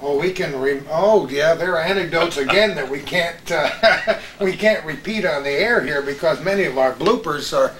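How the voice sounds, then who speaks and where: A man's voice: fairly high pitch, normal speed, hardly any pauses, fairly bright tone. An older man hosting a casual reminiscing chat, telling anecdotes in a chatty way. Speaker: host. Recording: echoey room with background hiss.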